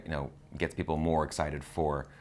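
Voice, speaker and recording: Brief: male review co-host, deep voice, casual, halting, agreeable conversational delivery. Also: little pitch movement. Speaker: co-host of a film review show. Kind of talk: casual unscripted discussion.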